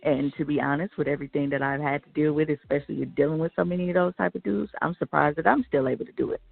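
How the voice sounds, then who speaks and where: An American woman with a creaky voice chatting bluntly and slangily as a radio co-host, giving her opinions. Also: deep voice, steady tone, fast talker, dry recording. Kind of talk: casual opinionated chat. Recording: narrow tinny phone-like line.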